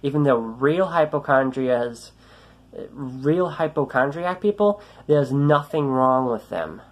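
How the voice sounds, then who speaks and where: A young man with a light, youthful voice vents into a phone held at arm's length. He rambles, swears and starts his sentences over. His pitch sits in an ordinary middle range. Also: expressive, slow. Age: young adult. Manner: frustrated, rambling, swearing, many restarts. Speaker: vlogger. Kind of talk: ranting selfie vlog. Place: small room indoors, then outdoors on a road.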